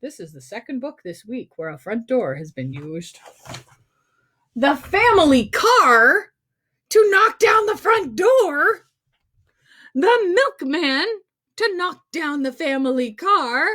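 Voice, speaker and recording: A woman's voice: sits high, very animated, slow, bright, forceful tone. A woman storyteller reading a picture book aloud to children in a playful, exclaiming manner, with dramatic emphasis and asides to young viewers. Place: recorded on a livestream.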